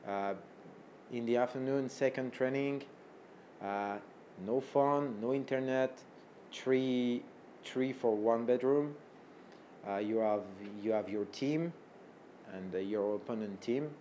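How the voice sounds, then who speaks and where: A man from France, a native French speaker, answering interview questions in halting English with many fillers and restarts. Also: mid-range voice, warm tone, slight background noise. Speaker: fighter being interviewed. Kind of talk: interview answers in second language.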